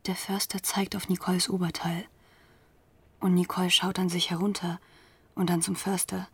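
A woman's voice: on the low side, little pitch movement, moderate pace, husky, no room sound. A woman narrating a radio drama in a scripted, dramatic storytelling style. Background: music.

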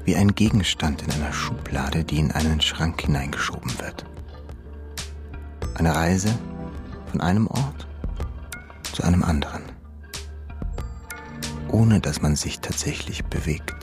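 Low-voiced, terse mysterious man. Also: a little slow, pauses often, over music. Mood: solemn, eerie. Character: mysterious man dwelling in a dark factory, terse, uncanny. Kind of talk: German radio crime drama acting and narration.

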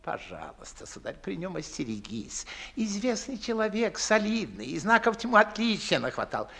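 An actor playing a pompous, fawning older Moscow gentleman and father in a theatrical 1950s radio comedy, declamatory and fussy, drawing out his emphatic words. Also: high voice, very animated, normal speed, vocal fry, heavily acted. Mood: confiding, cautioning. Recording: 1950s Soviet radio play recording.

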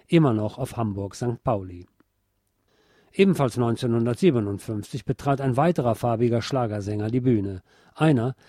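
A man reading a scripted documentary narration in a measured, articulate way. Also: medium pitch, warm tone, dry recording, studio quality.

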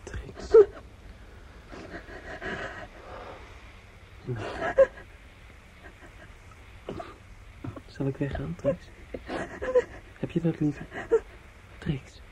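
An actor playing an earnest, devoted young student in love in a Dutch radio play, speaking gently and haltingly. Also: male voice, on the high side, fairly even intonation, gravelly, rough recording. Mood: tentative. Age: young adult. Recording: old radio recording with faint noise.

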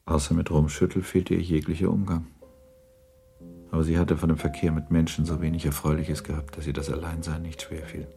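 A man narrating a literary radio drama in a measured, formal storytelling manner. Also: a bass voice, little pitch movement, gravelly, mellow, faint music behind.

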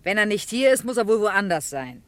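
A woman's voice: mid-range voice, sing-song, fairly quick, no echo, lightly acted. An actress playing a defiant captured woman from a gang, mocking and insolent in an old radio crime drama. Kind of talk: vintage radio drama acting.

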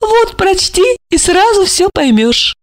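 A high, swooping voice for a coquettish young rich woman, put on by a female reader, teasing and sing-song. The lines leap up well above the reader's own pitch and sound dry and close. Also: sing-song, slow pace, dry recording, exaggerated voice. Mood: tender. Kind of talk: audiobook character reading.

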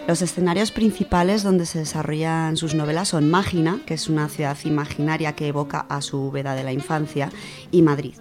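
A woman with a low voice and an accent from Spain presents books on a radio show. She talks informally and enthusiastically, in colloquial phrases, with faint music behind her. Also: steady tone, a little fast. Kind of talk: informal spoken book review.

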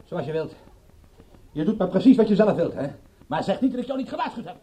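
An actor playing an insistent, brusque private detective in a radio drama, speaking in a terse, pressing way. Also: male voice, high voice, very animated, fast, warm tone, slightly rough, heavily acted. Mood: brusque warning.

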